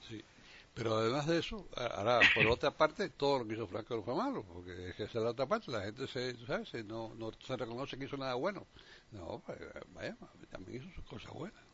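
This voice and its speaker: A man's voice: medium pitch, big pitch swings, a little fast, highs cut off, background noise. A man from Cuba with a Cuban accent talking casually and unscripted, often repeating words and restarting his phrases.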